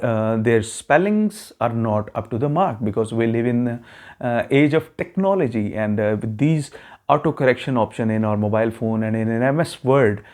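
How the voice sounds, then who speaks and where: A man's voice: mid-range voice, a little mellow. A man, self-described as not very fluent in English with a neutral accent, explaining a test strategy to his viewers in a casual talk with frequent okay check-ins. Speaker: YouTube channel host giving immigration advice.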